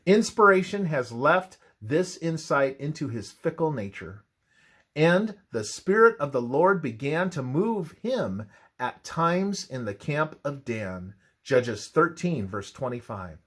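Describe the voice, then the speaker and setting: Man, devotional narrator, unhurried measured reading, lively rise and fall in pitch, scripted delivery. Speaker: narrator reading a religious presentation.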